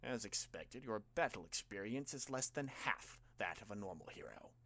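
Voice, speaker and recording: A man performs a villain of living dark mist from a narrated story. The speech is formal and measured. It carries a cool, condescending mockery. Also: lowish voice, expressive, vocal fry, full character voice.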